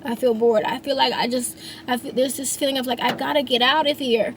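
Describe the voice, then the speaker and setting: A woman's voice: high-pitched, fairly even intonation, fairly quick, slight background noise. A woman in her thirties, a singer and songwriter, talking through her feelings in a rambling, reflective monologue with many restarts. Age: 30s. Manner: rambling, frequent restarts and fillers.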